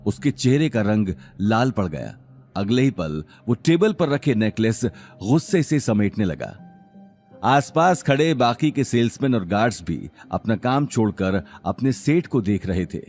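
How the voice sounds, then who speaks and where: Lively male audio drama narrator. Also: on the low side, measured pace, soft background music. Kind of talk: dramatic scripted story narration.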